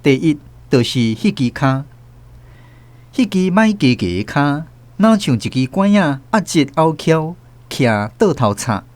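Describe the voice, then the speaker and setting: Animated Taiwanese male storyteller. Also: fairly high pitch, unhurried, no room sound. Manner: expressive storytelling. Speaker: narrator and host. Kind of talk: scripted radio novel narration.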